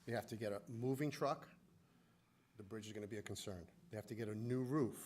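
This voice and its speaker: A man's voice: fairly low pitch, brisk, darkish tone. A middle-aged or older man objecting informally at a public meeting, emphatic and repeating his point. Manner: emphatic, repetitive. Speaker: applicant's father at a public meeting. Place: indoor municipal meeting room. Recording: noisy town meeting room.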